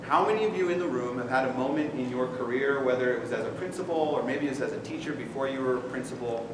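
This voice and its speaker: Loud man speaking unmiked in a hall. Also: mid-range voice, quick pace. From Oregon. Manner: loud, engaging, asks audience for raised hands. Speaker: speaker addressing school principals. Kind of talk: conference talk to a live audience. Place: large echoey conference room. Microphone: no microphone, recorded from far off. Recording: echoey hall, distant and noisy.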